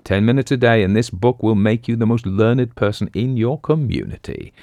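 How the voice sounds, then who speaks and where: A man voicing a brash, fast-talking book pitchman and con artist in an audiobook story, full of bombastic patter. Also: lively intonation, darkish tone, no room sound, studio quality. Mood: grand sales pitch.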